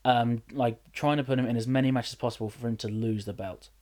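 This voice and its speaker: A man's voice: medium pitch, fast. A man, a wrestler, telling stories in a casual podcast chat with lots of restarts. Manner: animated storyteller, many restarts. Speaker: wrestler and general manager.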